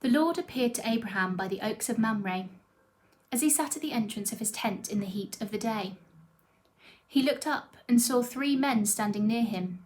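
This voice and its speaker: A woman's voice: a little high, moderate pace. A woman reading a Bible passage aloud as a scripture reader, in a scripted narrative style.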